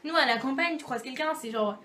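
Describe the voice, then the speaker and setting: A woman's voice: high voice, fairly quick, sharp tone. A young French woman talking to camera in a chatty, playful vlog, acting out little dialogues as she goes. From France. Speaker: YouTube vlogger. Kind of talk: casual comic monologue to camera.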